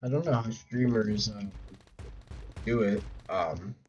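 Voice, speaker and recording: A man streaming a game in casual chat who rambles with fillers and restarts, his voice hoarse from talking. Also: lowish voice, takes it slowly, darkish tone. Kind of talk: casual live-stream chat while playing. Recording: dull lo-fi mic with faint keyboard typing.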